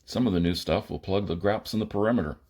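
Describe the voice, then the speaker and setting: A man voicing a gruff, no-nonsense spaceman with a curt, matter-of-fact delivery in an audiobook. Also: on the low side, fast talker, darkish tone, lightly acted. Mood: gruff, brusque.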